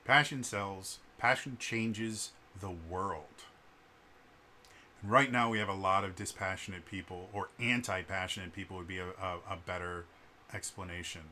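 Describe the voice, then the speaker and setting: A man with a stutter talks straight to the camera in an unscripted vlog, earnest and full of restarts. His voice sits fairly low and carries a bright, forceful edge. Faint background noise hangs behind him. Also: fairly even intonation, moderate pace. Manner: earnest, frequent fillers and restarts. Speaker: vlogger.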